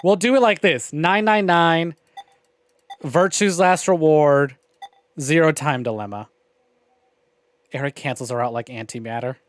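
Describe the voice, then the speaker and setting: A man chats casually as he streams a story game. His voice sits a little high and is recorded very dry and close. He is opinionated, wry and freely profane. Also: unhurried, long pauses, no room sound. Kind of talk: casual livestream commentary on a visual novel game.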